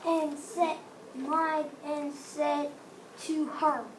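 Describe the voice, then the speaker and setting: Slow, high-voiced woman reading a story. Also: little pitch movement. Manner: halting, repeats and corrects phrases. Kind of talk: fairy-tale narration read aloud. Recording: noisy room recording with hiss.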